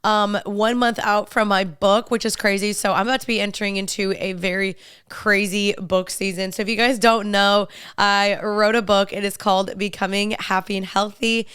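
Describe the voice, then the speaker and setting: A woman's voice: medium pitch, level tone, normal speed, fairly bright tone, clear, resonant tone, dry recording, studio quality. A young woman hosting a podcast in casual solo chat, upbeat and talking straight to listeners, a little congested and nasal from a cold. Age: young adult. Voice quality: slightly nasal. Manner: chatty and upbeat, addresses listeners as "you guys". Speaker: podcast host.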